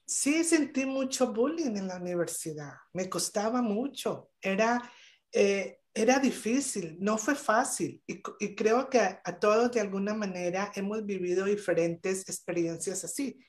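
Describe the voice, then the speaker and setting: A woman's voice: mid-range voice, lively intonation, unhurried. Earnest woman on a video call. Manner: earnest, emphatic, many hesitations. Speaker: guest speaker. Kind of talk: motivational talk on a podcast panel.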